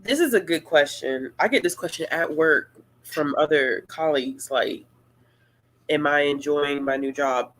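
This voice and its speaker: A woman hosting a casual live-stream Q&A, talking in a relaxed, rambling and playful way. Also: low-pitched, measured pace, poor audio. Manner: laid-back, rambling, playful. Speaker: booktuber host of a live Q&A.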